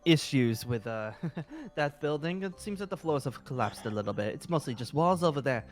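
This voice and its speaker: A man's voice: on the high side, lively intonation, normal speed, lightly acted. A man playing an eager, apologetic fantasy guild leader in improvised roleplay, talking in a hesitant, rambling way. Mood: apologetic, earnest. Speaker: roleplay performer. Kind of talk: improvised Minecraft roleplay dialogue. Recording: music under the voice.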